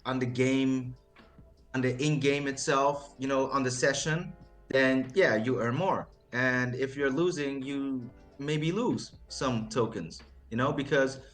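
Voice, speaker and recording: Non-native male guest, halting delivery, mid pitch, light music bed. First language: not English. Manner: halting, with many restarts and fillers. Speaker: interview guest, token project owner. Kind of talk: casual livestream interview.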